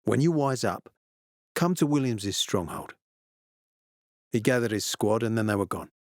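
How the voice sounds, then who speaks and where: Deep-voiced stern military commander, acted by a man. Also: lively intonation, moderate pace, darkish tone, no echo, studio quality, close to an everyday voice. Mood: reflective. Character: stern ambitious male military commander in a zombie apocalypse. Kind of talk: video game dialogue acting.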